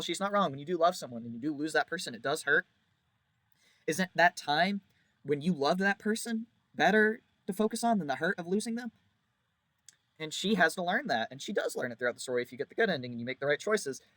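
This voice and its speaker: A man's voice: a little high, animated, a little fast, a bit bright, no echo. A man giving a casual, rambling game review in a blunt, jokey, informal way, leaning on words for emphasis. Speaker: video creator reviewing a visual novel.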